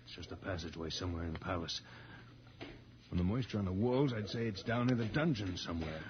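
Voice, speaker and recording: An actor playing a resolute, reassuring space-adventure hero, decisive and commanding, in old-time radio serial style. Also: male voice, sits low, fast talker, lightly acted. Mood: cautious, resolute. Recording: muffled, noisy vintage radio recording.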